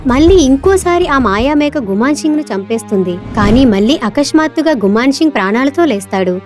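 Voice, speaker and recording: A woman's voice: sits high, lively intonation, brisk, darkish tone, faint music behind. A woman reading scripted children's story narration as the storyteller.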